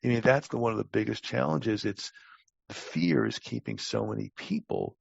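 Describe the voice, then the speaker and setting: Gravelly older man, roomy muffled sound. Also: medium pitch. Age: 60s. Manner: halting, opinionated, plain-spoken. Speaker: guest expert, talent acquisition advisor. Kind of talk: casual interview talk.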